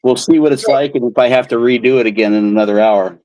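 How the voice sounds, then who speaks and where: A man's voice: medium pitch, quick pace, darkish tone, dry recording, rough recording. Dry-sounding man telling rambling stories. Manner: rambling storyteller with restarts. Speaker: channel host, beer can collector. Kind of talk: casual chat.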